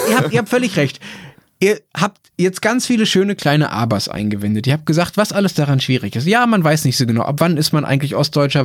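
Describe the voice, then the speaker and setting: A German man hosting a casual discussion, lively and teasing, repeating words for emphasis. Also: a little high, no echo, clean studio sound. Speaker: podcast host.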